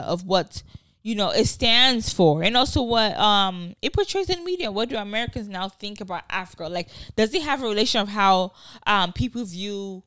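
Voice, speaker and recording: A young woman with a Nigerian accent, chatting casually on a podcast with lots of slang and swearing. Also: medium pitch. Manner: animated, swearing, slangy, jumps between loud and hushed. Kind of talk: casual chat.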